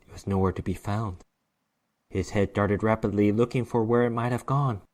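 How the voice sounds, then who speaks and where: A man's voice: lowish voice, steady tone, normal speed, darkish tone. Man giving a dramatic story reading. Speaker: narrator reading a short story. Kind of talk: dramatic reading of horror fiction narration.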